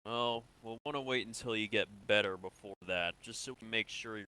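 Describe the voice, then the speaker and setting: Bright, clean-voiced reassuring medic played by a man. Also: fairly low pitch, brisk. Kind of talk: improvised online game roleplay.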